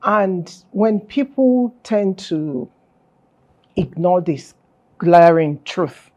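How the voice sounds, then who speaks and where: A woman's voice: on the low side, animated, extremely slow, darkish tone, lo-fi sound. A woman, a guest on an interview, explaining her beliefs firmly and stressing key words. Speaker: guest, film festival founder. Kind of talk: earnest explanatory interview talk.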